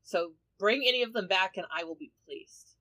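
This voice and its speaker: A woman's voice: mid-range voice, normal speed, pushed and bright, poor audio. A woman who plays in a tabletop podcast, chatting casually with the group in an emphatic, giggly manner. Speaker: podcast cast member, tabletop player.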